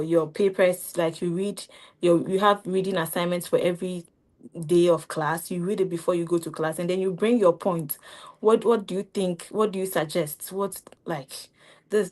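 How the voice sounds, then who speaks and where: A young woman from Ghana with a Ghanaian accent, a grad student chatting in an interview, animated and laughing often as she acts out quotes. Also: fairly low pitch, normal speed. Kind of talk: casual interview chat.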